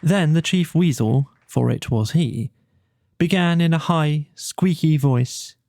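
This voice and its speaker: Unhurried, gravelly male storyteller. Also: a little high, darkish tone. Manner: dramatic, measured storytelling. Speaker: audiobook narrator. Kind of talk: scripted bedtime storybook narration.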